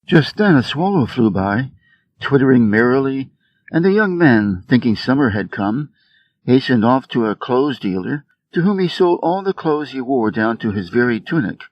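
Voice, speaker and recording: A man giving a measured, scripted reading of short fables for English learners, enunciating each phrase. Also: medium pitch, lively intonation, highs cut off. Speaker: story reader.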